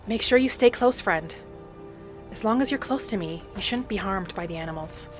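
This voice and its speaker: A woman's voice: mid-range voice, lively intonation, lightly acted. Reflective female wood elf played by a woman, music behind. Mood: friendly, calm. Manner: musing aloud, measured, speaking in short reflective phrases. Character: gentle female wood elf wanderer, reflective and matter-of-fact. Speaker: roleplay gamer narrating in character. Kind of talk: in-character game roleplay monologue. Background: background music.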